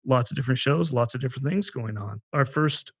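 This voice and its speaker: A man's voice: mid-range voice, brisk, slightly rough, dry recording. Man hosting a podcast, close dry sound. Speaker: podcast host. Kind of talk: conversational podcast introduction.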